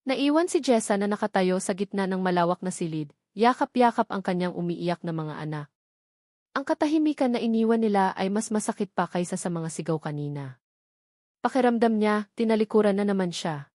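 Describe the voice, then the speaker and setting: A woman storyteller narrates a sentimental love story with earnest feeling. Her voice sits at an average female pitch, recorded dry and close with no room sound. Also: animated, fairly quick, a little mellow, dry recording. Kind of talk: scripted dramatic narration of a romance story.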